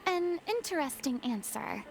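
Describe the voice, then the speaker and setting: An actress playing a proud, theatrical young former stage star in a video game, speaking in eloquent, dramatic monologues. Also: female voice, sits high, very animated, measured pace, soft background music, heavily acted, low-quality recording. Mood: reflective.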